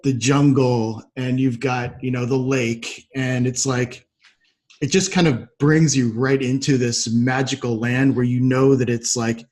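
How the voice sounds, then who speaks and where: A man's voice: medium pitch, steady tone, a little slow, darkish tone. A man hosting a podcast interview in a conversational, thoughtful and affirming way. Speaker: podcast host.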